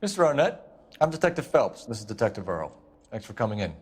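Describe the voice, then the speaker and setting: An actor playing a stern police detective in a game, questioning in a clipped, formal, insistent way. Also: male voice, fairly low pitch, big pitch swings, fast talker, slight background noise. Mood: formal, brisk. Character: male police detective, stern, probing, tightly controlled. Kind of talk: dramatic interrogation dialogue.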